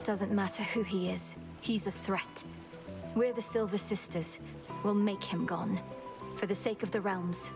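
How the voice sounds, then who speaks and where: A determined young witch princess, played by a woman, earnest and formal. Her mid-pitched voice has a creaky edge, with game music behind it. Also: steady tone, unhurried, close to an everyday voice. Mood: resolute. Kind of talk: video game voice acting.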